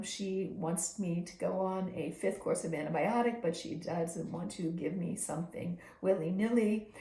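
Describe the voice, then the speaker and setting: A hoarse, short-of-breath woman recording a personal health video journal, speaking in a slow, measured way with frequent ums and pauses to clear her throat. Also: on the low side, warm tone, reverberant. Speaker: video diarist.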